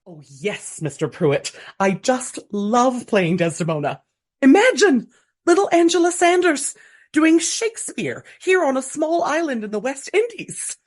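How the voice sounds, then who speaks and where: An actress in a radio play voicing a gushing, melodramatic amateur stage actress of the Regency era, speaking with theatrical earnestness. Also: female voice, high voice, sing-song, a little slow, exaggerated voice. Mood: gushing, proud. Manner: theatrical, breathy earnestness, dramatic flourishes.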